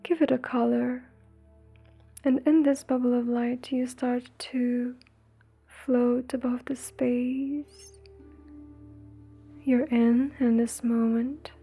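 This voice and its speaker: A woman leading a guided meditation in a soothing, breathy, gentle way, leaving long pauses between phrases. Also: a little high. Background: faint music.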